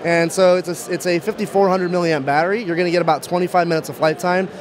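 A man's voice: high voice, fairly even intonation, fast talker, crowd noise. A man in his thirties demonstrating a product in an interview, speaking into a handheld microphone at a trade show. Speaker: brand manager demonstrating a product. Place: busy trade show floor.

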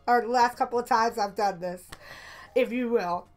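Young woman, raspy, a little sick, high voice, chatty gamer, curses a lot, headset mic, faint music behind. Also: normal speed. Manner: chatty, swears often, loud outbursts at the game. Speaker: gaming channel host. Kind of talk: casual let's-play commentary.

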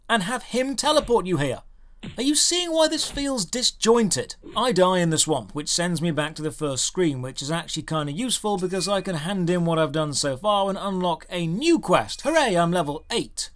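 A man narrating a scripted video game review in a wry, exasperated way, putting rhetorical questions to the viewer. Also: high voice.

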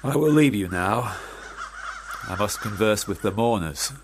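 Slow, solemn old clergyman in mourning. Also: lowish voice, animated, noisy background. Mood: grave, composed. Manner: measured, preacherly, formal. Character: grieving elderly clergyman father, solemn and devout. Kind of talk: radio play acting, funeral sermon.

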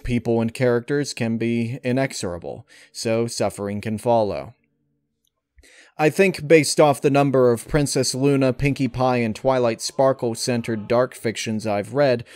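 Male interviewee, mid-pitched, dry close sound, formal and deliberate phrasing, articulate. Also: darkish tone, no echo. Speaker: channel host and audio story reader being interviewed. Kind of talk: thoughtful interview answers.

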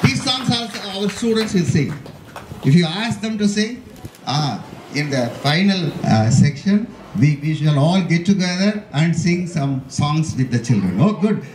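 A man's voice: fairly high pitch, expressive, unhurried, a little mellow, a little hiss or hum. Older Indian-accented man, echoey PA. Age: older, 60s. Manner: coaxing, repeats himself, addresses audience and puppet directly. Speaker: puppeteer and presenter. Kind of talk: live talk to a children's audience. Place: covered outdoor stage at a school. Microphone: handheld microphone. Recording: echoey PA sound in open venue.

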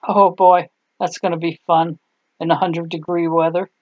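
A woman's voice: fairly low pitch, little pitch movement, moderate pace, no echo, dull audio. An older woman, German her first language, chatting in a homey, rambling way while she shows a clay craft, tired at the end of the day. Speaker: craft tutorial host. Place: home craft table, indoors.

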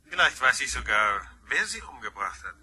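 An actor playing a sly, taunting anonymous blackmailer in a crime radio drama, insinuating and mock-sweet. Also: male voice, mid-range voice, big pitch swings, normal speed, pushed and bright, husky, exaggerated voice. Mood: menacing, taunting.

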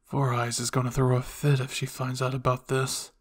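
A man's voice: medium pitch, monotone, normal speed, breathy edge, close to an everyday voice. A man voicing a blunt military captain who scolds curtly but secretly cares, in an anime fan fiction roleplay. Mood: irritated. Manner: curt, scolding, awkwardly tender. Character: gruff, blunt soldier captain, secretly caring.

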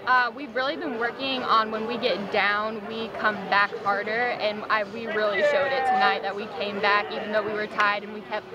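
A woman's voice: medium pitch, fairly even intonation, moderate pace, few pauses, poor audio. A young woman, a freshman college soccer player, giving short, upbeat postgame answers with fillers on a stadium field at night. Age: young adult. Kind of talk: postgame interview. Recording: loud crowd noise behind. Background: loud crowd and players nearby.

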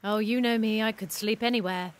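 An actress playing a kind, teasing castaway peacemaker in a Regency audio drama, playful and coaxing. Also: female voice, medium pitch, steady tone, a little fast, natural voice.

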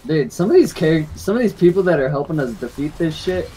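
A man's voice: a little high, lively intonation, brisk, dark tone, low-quality recording. Man, exasperated, swearing, casual gaming chat, faint background noise.

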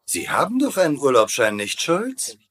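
An actor playing a cheeky, smooth-talking prisoner officer in a comedy dub, sly, mocking and glib. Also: male voice, a little high, wildly swooping pitch, moderate pace, a bit bright, exaggerated voice. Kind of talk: German comedy dub acting.

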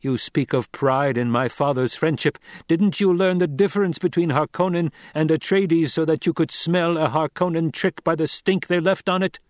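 A man voicing a young nobleman in an audiobook, a fiercely loyal son who speaks with deliberate, commanding intensity and deadly control. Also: on the high side, no echo, studio quality, pitched above the performer's own voice, natural voice. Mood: reproachful, intense. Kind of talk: audiobook character acting.

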